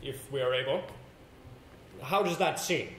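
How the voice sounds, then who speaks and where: An actor on stage playing a confident, sympathetic theatre actor who encourages a pupil. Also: male voice, medium pitch, unhurried, a bit bright, close to an everyday voice. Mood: encouraging, earnest. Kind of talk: live stage play acting. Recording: echoey hall, clearly noisy stage recording.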